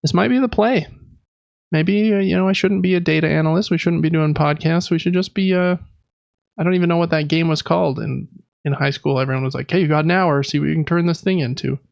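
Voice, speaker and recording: A man's voice: fairly high pitch, fairly even intonation, quick pace, gravelly, dry recording, dull audio. An American man in his thirties chatting casually as a podcast co-host, rambling along with self-deprecating humor. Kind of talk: casual chat.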